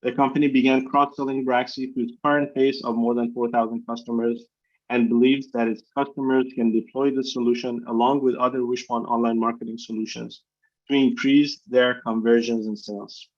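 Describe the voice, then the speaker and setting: A man's voice: medium pitch, level tone, brisk, a little mellow. Dull-sounding call, formal male chief executive. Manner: formal, reading prepared remarks. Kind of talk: scripted corporate presentation on an earnings call. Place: video conference call. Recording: dull, lo-fi conference call audio.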